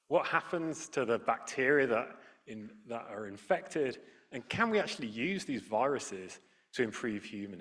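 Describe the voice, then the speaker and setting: A man's voice: mid-range voice, fairly bright tone, husky. A man hosting a science event, thanking one speaker and introducing the next in an upbeat, scripted way. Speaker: event host.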